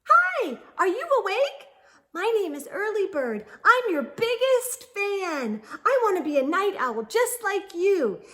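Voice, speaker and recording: A woman's voice: very high-pitched, big pitch swings, fairly bright tone, pitched above the performer's own voice, heavily acted. A woman voicing an eager, chattering young girl bird, breathless and bubbly, in a children's picture-book read-aloud. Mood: eager, excited. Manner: breathless, bubbly, rapid-fire questions.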